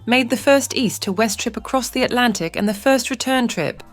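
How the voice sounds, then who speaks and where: A woman's voice: fairly high pitch, moderate pace, soft background music, lightly acted. A woman voicing a prim, proud museum warplane with a proper cartoon manner.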